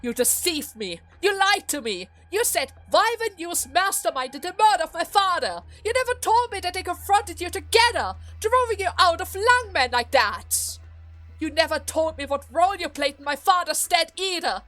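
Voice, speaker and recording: A woman's voice: high voice, very animated, a little slow, a bit bright, soft background music, full character voice. A woman acting a defiant young noblewoman and daughter in a dramatic game story, accusing and emphatic with righteous anger. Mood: accusing, angry.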